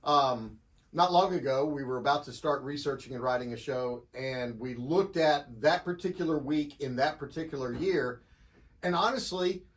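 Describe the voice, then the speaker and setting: A man's voice: medium pitch, normal speed. A man in his fifties, a country radio host, explaining things in casual interview chat with dry humor. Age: 50s.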